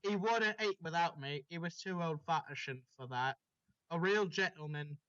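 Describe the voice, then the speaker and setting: A man's voice: a little high, moderate pace, a bit bright. A man reading a story aloud to friends in a casual way, over a dull lo-fi voice chat. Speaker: story reader.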